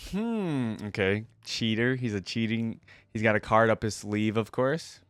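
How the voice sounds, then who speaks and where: A man's voice: fairly low pitch, lively intonation, a little slow, clear, resonant tone, dry recording. A man hosting a reaction video with informal, conversational commentary, recorded on a close microphone.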